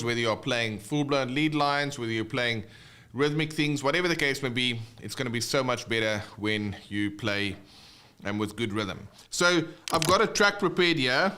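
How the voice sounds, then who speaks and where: Male guitar tutor, South African accent, English second language, smooth clear voice at a middling pitch, informal livestream teaching. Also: pushed and bright. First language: not English (English is second language). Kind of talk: casual live online lesson, chatting with viewers.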